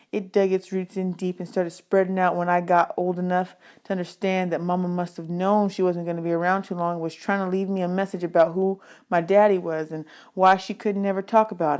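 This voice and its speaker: Woman doing an expressive kids book read-aloud, medium pitch, storyteller style. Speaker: audiobook reader.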